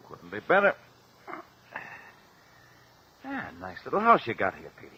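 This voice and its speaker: A man's voice: medium pitch, wildly swooping pitch, quick pace, highs cut off. A man with an American accent playing a falsely friendly, menacing gangster, casual and taunting, in a vintage crime radio drama. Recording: noisy old radio drama recording.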